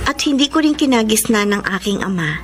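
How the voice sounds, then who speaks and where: Humble young housemaid, higher-pitched woman acting. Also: lively intonation, brisk, close to an everyday voice. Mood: earnest, wistful. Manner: polite, deferential, earnest. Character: humble, grateful young housemaid, orphaned and eager to please. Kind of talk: radio drama acting. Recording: music behind the voice.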